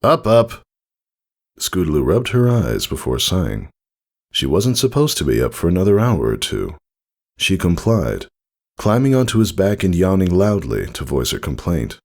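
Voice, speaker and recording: Deep, gravelly male story narrator. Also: very animated, normal speed, darkish tone. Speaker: fan fiction reader, narrator.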